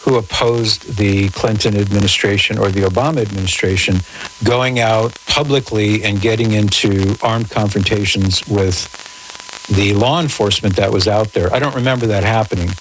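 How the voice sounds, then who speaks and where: A man hosting a radio call-in show, answering in a measured, articulate, conversational way with formal wording. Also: on the low side, steady tone. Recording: noisy radio broadcast with static.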